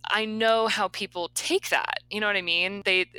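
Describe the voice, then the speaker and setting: A woman with a low voice, co-hosting a podcast in candid, rambling casual chat full of fillers. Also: steady tone, normal speed, sharp tone, clean tone, dry recording, poor audio. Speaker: podcast co-host.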